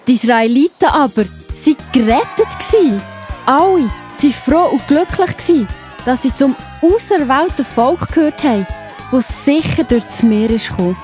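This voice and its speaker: A woman with a Swiss accent narrating Bible stories for children in an expressive, enthusiastic, simple way. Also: high voice, moderate pace. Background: music behind the voice.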